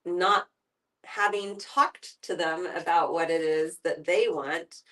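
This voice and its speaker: A woman's voice: fairly low pitch, slow pace, fairly bright tone. A woman hosting a casual group discussion, with a giggly, informal way of talking. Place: live group gathering in a room. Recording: distant, off-mic pickup.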